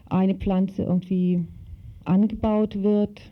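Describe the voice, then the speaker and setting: Muffled tape, halting woman explaining informally. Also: medium pitch, little pitch movement. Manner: halting, searching for words, colloquial. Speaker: interviewee knowledgeable on the subject. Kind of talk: informal explanation in a radio interview. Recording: dull lo-fi interview tape.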